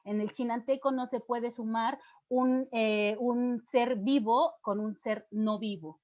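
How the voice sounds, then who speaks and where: A woman with a Mexican accent lectures students, raising questions and answering them herself. Her voice sits fairly high, and she often restarts words as she thinks aloud. The recording sounds dull and muffled, like lo-fi lecture audio. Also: steady tone, slow pace. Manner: explanatory, poses questions and answers them, frequent fillers and restarts. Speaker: literature teacher giving a lecture.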